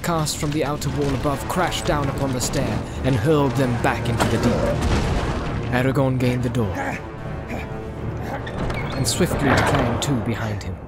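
A man narrates an audiobook in a dramatized storytelling style. His voice sits at a middle pitch. Music plays clearly behind him. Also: steady tone, unhurried, long pauses.

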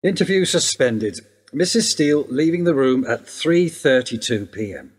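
An actor playing a friendly policeman with a husky voice, speaking in an easygoing, reassuring way. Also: mid-range voice, lively intonation, moderate pace, big-room sound, background noise, close to an everyday voice. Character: genial male detective, easygoing and reassuring. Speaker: police detective. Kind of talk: radio play acting.

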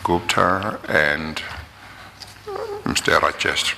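A middle-aged man chairing a formal hearing, questioning slowly and deliberately at a desk microphone. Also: rumbling low, fairly even intonation, gravelly, long reverb tail, background noise. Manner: slow, deliberate with pauses. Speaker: commission chairman. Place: hearing room.

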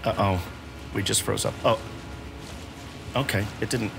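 A middle-aged man with an American accent chatting casually as he plays a video game, halting and repeating himself as he thinks. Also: fairly low pitch, creaky, over music. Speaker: host playing a video game with his son. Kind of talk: casual gameplay chat. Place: home gaming room, indoors.

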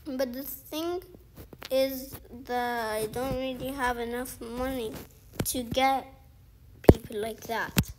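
Young woman, gameplay commentary, unhurried rambling speech, fillers and restarts, faint background hiss. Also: sits high, steady tone. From outside the featured countries. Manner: rambling, thinks aloud, frequent "like" and restarts. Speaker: gaming video creator.

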